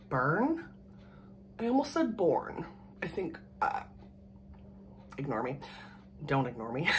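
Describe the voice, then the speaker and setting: A woman in her thirties from Canada, hoarse from a cold, chatting casually and rambling to the camera at home. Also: low-pitched, slight background noise. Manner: rambling, chatty, laughs often. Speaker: vlogger. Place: small room at home, indoors. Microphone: camera in front at desk distance.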